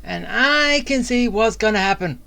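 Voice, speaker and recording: A woman giving casual gameplay commentary, with halting, muttered reactions. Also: fairly high pitch, lively intonation, gravelly. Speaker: gamer. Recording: loud game music over the voice.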